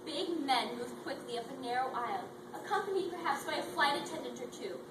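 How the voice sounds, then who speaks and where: A young woman acting as a composed young widow, measured and reflective, with a high voice. She is recorded from a distance in an echoey hall, with loud noise around her. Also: close to an everyday voice. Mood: grave. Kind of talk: student readers theatre. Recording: echoey hall from far off, very noisy.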